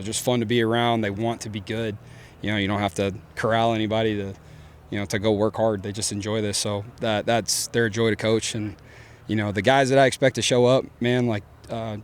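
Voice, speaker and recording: A man from East Texas with a fairly low voice and a strong East Texas accent. He rattles along quickly in an easygoing, upbeat way, full of fillers and restarts, answering press questions as a football coach. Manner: casual, filler-heavy, upbeat.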